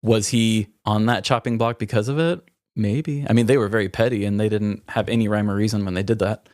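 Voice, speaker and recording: A man's voice: fairly low pitch, steady tone, brisk, darkish tone, dry recording, clean studio sound. An American man co-hosting a comedy podcast, telling stories in a casual, rambling, conversational way. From US Midwest. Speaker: podcast co-host.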